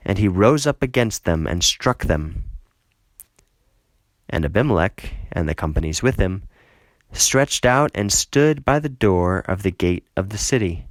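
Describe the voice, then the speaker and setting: A man narrating a scripted Bible reading in a measured, solemn way. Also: deep voice, lively intonation, no echo.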